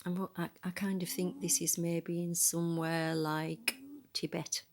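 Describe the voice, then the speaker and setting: A woman reading a picture book aloud in a soft, breathy voice, adding musing, conversational asides about the illustrations. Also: lowish voice, level tone, a little slow, crowd noise. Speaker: storybook reader.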